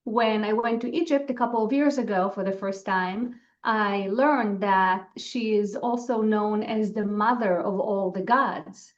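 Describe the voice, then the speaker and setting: A woman's voice: medium pitch, measured pace, few pauses. Woman explaining, dull lo-fi audio. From Israel. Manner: explanatory, earnest. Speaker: guest, spiritual channeler. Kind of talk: interview answers.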